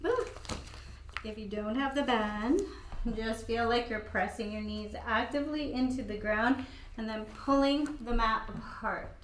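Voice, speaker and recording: A woman with a croaky voice guiding a gentle yoga class in an old studio, cueing calmly with light laughs. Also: on the high side, measured pace, reverberant, slight background noise, low-quality recording. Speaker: yoga instructor.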